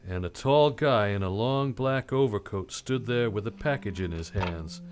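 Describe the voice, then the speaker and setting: An actor playing a wry, weary private detective in an old-time radio drama, dry and terse. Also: male voice, lowish voice, normal speed, noisy background, close to an everyday voice. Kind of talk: old-time radio drama, first-person noir narration.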